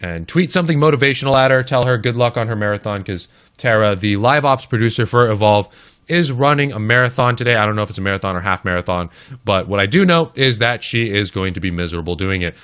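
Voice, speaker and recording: A man hosting a live esports stream, casting matches in casual chat and talking straight to the viewers, his voice a little hoarse. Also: fairly low pitch, fast talker, fairly bright tone. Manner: chatty, talks straight to the chat. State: slightly hoarse. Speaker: esports caster and stream host.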